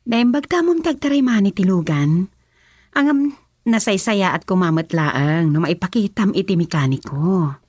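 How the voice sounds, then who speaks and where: A woman's voice: fairly low pitch, lively intonation, normal speed, darkish tone, husky, no echo, clean studio sound. A woman with an Ilocano accent playing a nosy, teasing member of a household in a radio drama.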